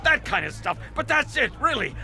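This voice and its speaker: A man's voice: very high voice, very animated, moderate pace, vocal fry, pushed and bright, faint music behind, exaggerated voice. An actor playing a corrupt cop in a video game, whining and protesting his innocence in a pleading manner. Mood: frantic, indignant. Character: whiny corrupt cop pleading innocence after being caught.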